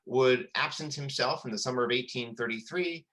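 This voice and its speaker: A man presenting scripted historical commentary as a narrator, in a formal, measured storytelling manner. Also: mid-range voice, a bit bright, low-quality recording.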